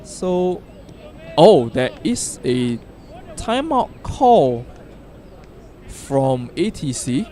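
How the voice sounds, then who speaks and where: Slow-paced, excitable male sports commentator. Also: a little high, a faint crowd. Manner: excitable, with sudden loud exclamations. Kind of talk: live play-by-play commentary. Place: outdoor sports field.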